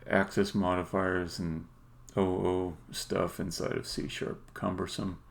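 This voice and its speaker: A man thinking aloud while live-coding as a game developer, halting and muttering to himself, with keyboard typing and clicking around him. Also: low voice, level tone, vocal fry. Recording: faint background noise, keyboard typing. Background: computer keyboard typing and clicking.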